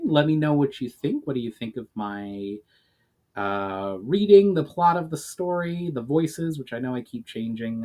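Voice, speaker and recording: A man's voice: mid-range voice, a little hiss or hum. Man reading fantasy story aloud dramatically. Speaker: author reading own book, channel host. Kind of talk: dramatic audiobook-style narration.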